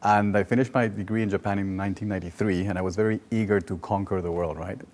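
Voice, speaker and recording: A man in his forties speaks English with a Peruvian Spanish accent. His voice is on the low side, and he talks conversationally, telling personal stories as a well-being coach being interviewed. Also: steady tone, fast, darkish tone. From Peru. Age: 40s.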